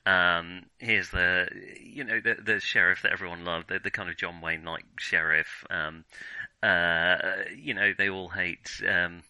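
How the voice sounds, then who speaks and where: Male film podcast host. Very forceful, bright tone, dry sound, hesitant conversational reviewing with frequent rephrasing. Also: low-pitched, steady tone, clean tone, dry recording, low-quality recording. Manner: hesitant, halting, self-interrupting. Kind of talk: casual film review discussion.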